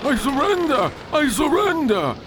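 An actor playing a terrified merchant sailor pleading with pirates in an audio drama. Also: male voice, extremely high pitch, big pitch swings, a little slow, full character voice. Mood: panicked pleading. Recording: noisy outdoor sea ambience.

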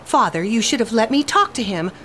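A woman playing a gentle, anxious young noble bride in a radio drama. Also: fairly high pitch, very animated, brisk, slight background noise.

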